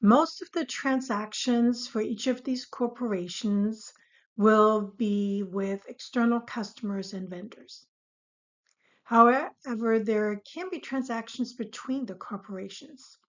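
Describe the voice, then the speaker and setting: A woman instructor giving a scripted, explanatory lesson in a measured, formal way. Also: a little high. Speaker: accounting instructor. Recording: dull, lo-fi voice recording.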